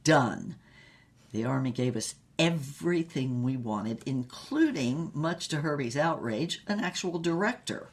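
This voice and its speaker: A woman playing a worldly, brassy older former starlet who tells racy memoirs in a husky voice, wryly and unhurriedly, with dramatic pauses. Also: a bass voice, lively intonation, heavily acted. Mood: wry, breezy. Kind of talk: comic scripted memoir narration.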